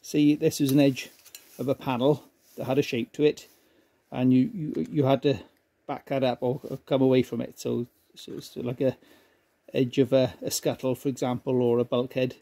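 A man's voice: mid-range voice, flat delivery, normal speed, vocal fry, warm tone, dry recording. Plain-spoken man in a garage. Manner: plain, self-deprecating, explains step by step. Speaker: amateur car restorer demonstrating welding. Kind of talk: casual workshop demonstration. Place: cluttered garage workshop.

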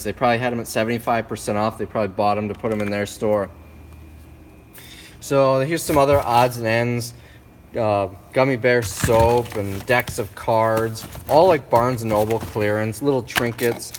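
Man quickly rattling off a storage haul. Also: medium pitch, slight background noise. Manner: quick, offhand item-by-item listing. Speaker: reseller showing a storage unit haul. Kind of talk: casual walkthrough narration. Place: indoor storage unit and warehouse. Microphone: handheld phone camera.

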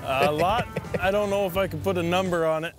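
Brief: man, fishing guide, laid-back, quick to laugh, slightly raised pitch, out on the water. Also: music in the background. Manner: relaxed, laughs easily, short remarks. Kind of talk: casual banter while fishing. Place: outdoors on a boat on a lake.

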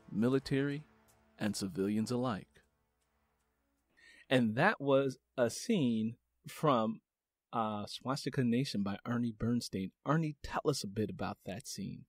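Guy hosting a book show, reads the passage slow and steady, mid-pitched, music under it. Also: husky, no echo. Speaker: podcast host reading a book excerpt. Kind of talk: interview and dramatic book reading.

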